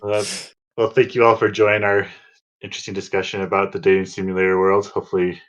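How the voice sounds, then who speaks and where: A man's voice: deep voice, flat delivery, fast talker, muffled recording. A man co-hosting a podcast in a casual, conversational chat. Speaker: podcast co-host.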